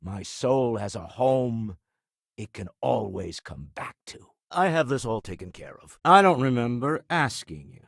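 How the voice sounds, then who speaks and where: An actor playing a wealthy, urbane older businessman explorer in a video game, speaking in a refined, measured way with dry wit. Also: medium pitch, animated, gravelly, dry recording. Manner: refined, measured, dryly witty phrasing. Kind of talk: video game character dialogue.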